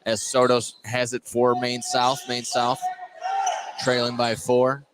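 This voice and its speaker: A man's voice: mid-range voice, completely flat delivery, slow pace. Man calling basketball over gym noise. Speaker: play-by-play sports announcer. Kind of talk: live basketball radio commentary. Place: indoor gymnasium during a game. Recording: noisy gym broadcast, bouncing ball. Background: game noise, basketball bouncing.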